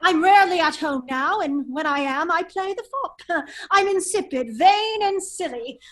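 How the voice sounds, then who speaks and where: A woman's voice: very high-pitched, lively intonation, a little slow, a bit bright, heavily acted. A woman reading the part of a daring English baronet with a secret double life in a radio drama. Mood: confiding, wry. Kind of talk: table read of a 1930s-style radio drama.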